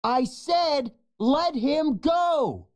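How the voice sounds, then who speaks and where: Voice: sits high, lively intonation, drawn-out words, voice pushed up, exaggerated voice. A storybook narrator voicing a brave, earnest young male lion cub in an exclamatory way. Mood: commanding. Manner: exclamatory, urgent.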